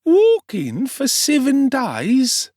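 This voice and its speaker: A man's voice: very high voice, wildly swooping pitch, extremely slow, darkish tone, no echo, clean studio sound, voice pushed up, full character voice. A man voicing a swaggering, streetwise Cockney boy with a brash, slangy, self-assured manner in an audiobook. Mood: amused, knowing.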